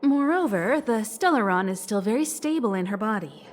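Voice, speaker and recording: A woman's voice: medium pitch, lively intonation, moderate pace, slight background noise, close to an everyday voice. A woman playing a composed, patient and lightly wry female guide in an English video game dub. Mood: wry, calm.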